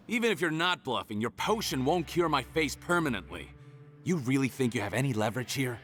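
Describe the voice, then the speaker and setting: An actor playing a scheming, smug villain in a video game, with a taunting, theatrical manner. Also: male voice, mid-range voice, sing-song, normal speed, a bit bright, a little hiss or hum. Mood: smug, menacing. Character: scheming villainous man, smug, bargaining and threatening.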